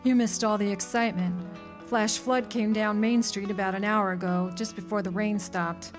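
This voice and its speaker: A woman's voice: mid-range voice, fairly even intonation, moderate pace, lightly acted. An actress playing a bitter, guarded small-town woman in a radio drama, blunt and resigned. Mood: wry, resigned. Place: live amphitheater stage performance. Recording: live stage recording with music.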